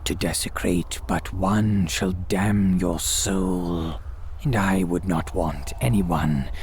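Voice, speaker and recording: A man's voice: sits low, slow pace, a little mellow, slight background noise. A man playing a sinister old priest who hosts gothic horror tales, speaking in formal, archaic phrases with an ominous, theatrical delivery that dips into whispers. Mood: ominous welcome. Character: sinister old graveyard priest, courtly, eerie host. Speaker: horror tale host. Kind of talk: theatrical gothic radio drama monologue.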